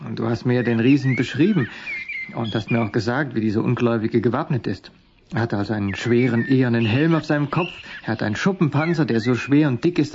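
A male actor as a confident young shepherd with a medium-pitched voice. He explains things earnestly and resolutely, in radio-drama style, on a dull recording with faint noise. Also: a little mellow, natural voice. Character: young shepherd slinger, devout, determined, confident. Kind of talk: radio play acting.

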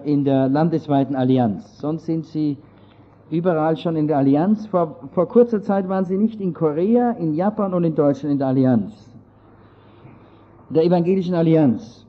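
A man with an Austrian accent, somewhat Germanized, giving an earnest, digressive talk on church history to an audience. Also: on the high side. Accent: Austrian German, partly Germanized. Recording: dull lo-fi audio.

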